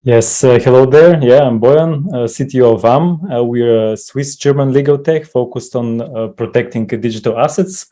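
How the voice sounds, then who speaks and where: A man introducing himself as a panelist on a video call, measured and modest. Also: medium pitch, warm tone. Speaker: panelist, CTO.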